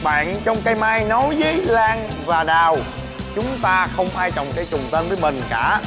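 A man's voice: high-pitched, expressive, moderate pace. A man in his thirties hosting a comic family game show, teasing the others and reading out tasks in a playful way. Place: indoors in a large shop or showroom. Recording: music bed under the speech. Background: loud background music.

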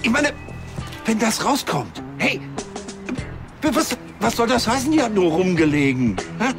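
Casting: pompous, stammering ex-police chief, higher-pitched and animated, TV crime comedy. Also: male voice, unhurried, over music, full character voice. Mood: agitated. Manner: stammering, self-important. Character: flustered, indignant former police councillor, male.

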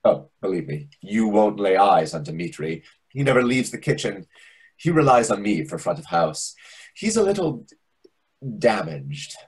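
A man plays a fussy, condescending waiter in a stage comedy. His voice is low and very husky, with animated swoops as he pompously explains things. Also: heavily acted. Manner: deliberate, pompous. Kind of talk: readers theatre comedy acting. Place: indoor room on a video call. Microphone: video call microphone.

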